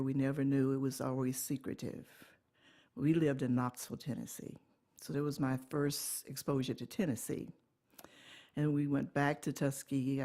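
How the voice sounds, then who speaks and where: A woman, telling her life story in an oral history interview, unhurried and pausing often to correct herself. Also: a bass voice, mellow. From Alabama, USA. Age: 80s. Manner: unhurried recollection with pauses and self-corrections.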